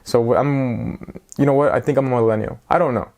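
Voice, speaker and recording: A man in his twenties chats at an ordinary middle pitch, wandering off on tangents. He is casual and a little self-mocking, like a vlogger talking straight to his audience. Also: fairly even intonation, fairly quick, vocal fry, a little mellow. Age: 20s. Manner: rambling, self-mocking, chatty. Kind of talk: casual talk to camera.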